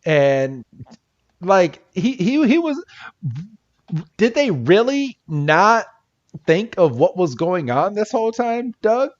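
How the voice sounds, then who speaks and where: A man co-hosting a podcast in a casual, halting recap style, acting out lines as he goes. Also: sits high, sing-song, dull audio. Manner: halting, acts out characters' lines. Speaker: podcast co-host. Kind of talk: casual episode recap chat.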